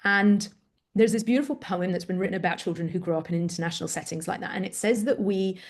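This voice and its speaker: A woman's voice: medium pitch, fast. A middle-aged woman with an English accent, from Greece, speaking candidly and with animation as a parent coach guest in an interview. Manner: candid, animated, self-deprecating storytelling. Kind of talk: conversational interview.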